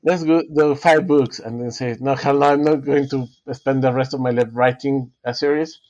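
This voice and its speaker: A man whose first language is Spanish, an author being interviewed, talking in a halting way with many fillers and restarts. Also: medium pitch, fairly even intonation, darkish tone, muffled recording. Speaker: author guest. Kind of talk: casual interview talk.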